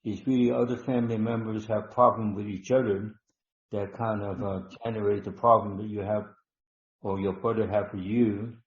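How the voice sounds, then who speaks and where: A man hosting a live online healing session, talking slowly and instructively, repeating words with many ums. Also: fairly low pitch, little pitch movement. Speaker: webinar host and healer. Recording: dull roomy online call audio.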